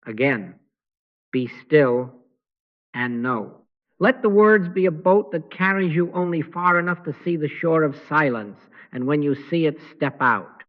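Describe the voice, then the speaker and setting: Hushed man guiding a slow meditation. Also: a little high, lively intonation, lo-fi sound. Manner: slow, hushed, gently instructive. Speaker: meditation guide.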